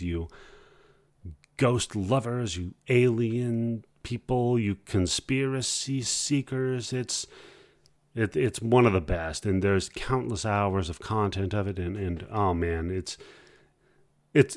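Man, podcast host, lowish voice, casual, halting delivery, dry recording. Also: no echo. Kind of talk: casual solo podcast talk.

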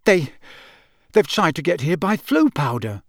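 A male narrator plays an eager teenage boy in a storybook. The voice sits fairly high and sounds earnest, pressing to explain and help. The recording is very dry. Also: big pitch swings, unhurried, no room sound. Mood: amused explaining. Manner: urgent, helpful, explaining.

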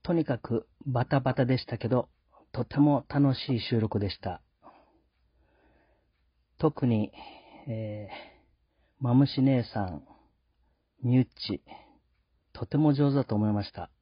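Male host, husky voice, polite halting delivery, casual reflective monologue, dry lo-fi recording. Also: mid-range voice, no room sound. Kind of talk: casual podcast sign-off monologue. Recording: dull lo-fi sound.

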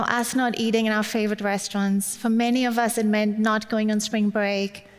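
German-accented woman preaching in long reverb. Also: mid-range voice. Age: middle-aged. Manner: personal, lightly humorous. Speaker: guest speaker at church. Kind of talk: sermon.

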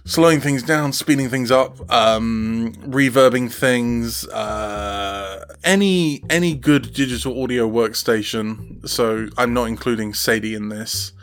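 A man speaking as a podcast guest, telling anecdotes casually in an animated, whimsical way. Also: mid-range voice, takes it slowly, few pauses. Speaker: podcast guest, audio drama sound designer and musician.

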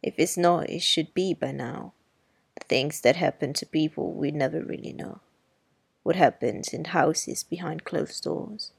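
A woman reading the part of a wry, sharp-tongued middle-aged neighbor, speaking slowly, dryly and grimly. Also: deep voice, vocal fry, husky, no echo, pitched below the performer's own voice, full character voice. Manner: slow, dry and grim. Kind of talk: audiobook character reading.

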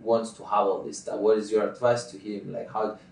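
A man from Greece whose first language is Greek, hosting an interview with halting, restarting non-native phrasing. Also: on the low side, level tone, vocal fry, darkish tone, distant mic. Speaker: podcast host. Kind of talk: casual interview questions. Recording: roomy sound from off-mic.

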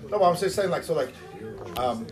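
A man's voice: fairly high pitch, animated, brisk, crowd noise. A man in a casual group chat, telling stories in a rambling way and circling back to repeat and rephrase his questions. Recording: music behind the voice. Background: music.

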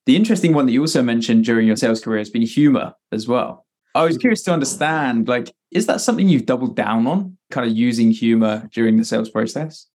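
A man hosting a podcast interview, warmly affirming his guest before asking the next question. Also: mid-range voice, animated, quick pace. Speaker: podcast host.

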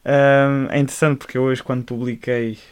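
A man's voice: medium pitch, level tone, moderate pace, smooth. A man hosts a casual podcast interview in a friendly back-and-forth. He laughs often, stumbles over his words, and circles back to restart his questions. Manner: laughing, hesitant, many fillers and restarts. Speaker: podcast host.

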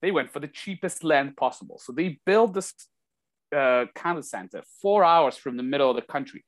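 A man's voice: a little high, normal speed. A man from Kazakhstan chatting casually as an interview guest, telling anecdotes and acting out bits of dialogue as he goes. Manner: animated retelling with acted-out dialogue. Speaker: interview guest, former hockey player.

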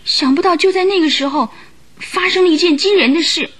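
A woman's voice: very high voice, brisk, fairly bright tone. An actress playing an anxious young woman who recounts events earnestly in a radio drama. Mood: grave, recounting. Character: anxious young woman client recounting her sister's death. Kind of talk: Mandarin radio drama acting. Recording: radio drama with music behind.

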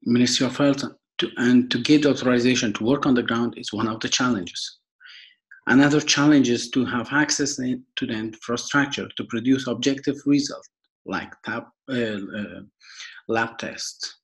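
A young man from Afghanistan with an Afghan accent, giving a prepared podcast introduction in a formal, halting way, often repeating and rephrasing. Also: mid-range voice, low-quality recording. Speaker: podcast host and foundation worker.

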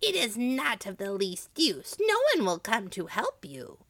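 A woman voicing a wicked old witch from a storybook, malicious and bullying, with drawn-out, scolding commands. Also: fairly high pitch, very animated, gravelly, sharp tone, no room sound, voice pushed up, heavily acted. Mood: scolding, harsh.

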